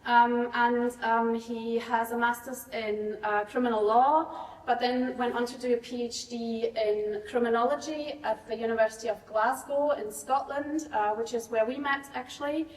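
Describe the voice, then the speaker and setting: A woman hosting a university lecture who introduces the speaker haltingly, with many ums and uhs. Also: fairly high pitch, steady tone, slight background noise. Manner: hesitant, filler-heavy. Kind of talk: formal introduction with many fillers. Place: lecture hall. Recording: echoey hall, mic from far off.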